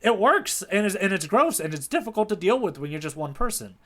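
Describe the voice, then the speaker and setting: A man co-hosting a podcast in casual, informal, slangy discussion with an animated delivery. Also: high voice, brisk. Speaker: podcast co-host. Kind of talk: casual manga discussion chat.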